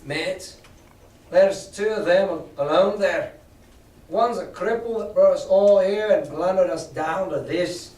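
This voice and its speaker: A furious pirate bellows his accusations in a high, strained roar, every phrase dragged out slow and heavy, as a man reading aloud puts him on. The shouting rings around an echoey room, heard from some way off the mic. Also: recorded from a distance. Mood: rousing. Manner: roaring, screaming, drawn out. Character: furious rough male pirate, loud and accusing.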